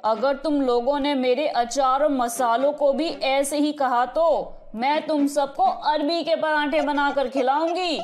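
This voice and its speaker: A woman's voice: high-pitched, fairly even intonation, unhurried, few pauses. A woman voicing a boastful, insistent older mother and home cook in a Hindi cartoon story. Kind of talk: Hindi animated moral-story dialogue.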